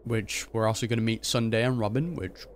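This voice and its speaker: A man's voice: lowish voice, fairly even intonation, fairly quick, a little hiss or hum. A man giving casual, slangy, off-the-cuff commentary as he reacts to a video game. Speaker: gaming reaction host.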